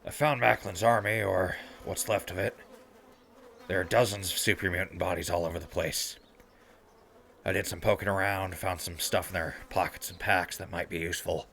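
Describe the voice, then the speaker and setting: Wasteland survivor, audio drama, deep gravelly voice, halting, wry, weary, sarcastic. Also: male voice, steady tone, a bit bright, a little hiss or hum. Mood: weary, matter-of-fact. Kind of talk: post-apocalyptic audio drama log.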